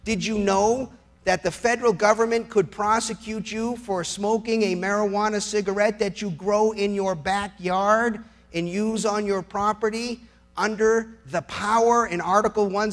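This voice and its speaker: A man's voice: sits high, fairly even intonation, measured pace. A man speaking as a lawyer giving a persuasive public talk, emphatic and incredulous, full of rhetorical questions. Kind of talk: persuasive political speech on law, with anecdotes and rhetorical questions.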